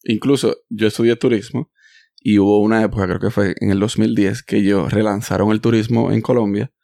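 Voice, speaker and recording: Gravelly, low-voiced Dominican host. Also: male voice, brisk, dry recording, studio quality. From Dominican Republic. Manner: calm, affirming, finishes the guest's thoughts. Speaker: podcast host. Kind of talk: casual interview conversation.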